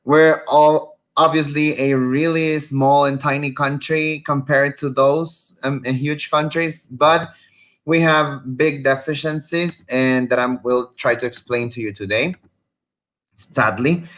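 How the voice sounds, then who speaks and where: A man's voice: on the high side, fairly even intonation, slow pace, a bit bright. A man from Honduras presenting on a webinar over a video call, in an informal, chatty way. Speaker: medical student presenter.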